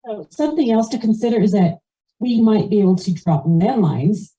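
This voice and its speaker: A woman's voice: mid-range voice, expressive, moderate pace, mellow. A woman making an informal comment as a community member at a meeting. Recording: muffled, dull meeting audio.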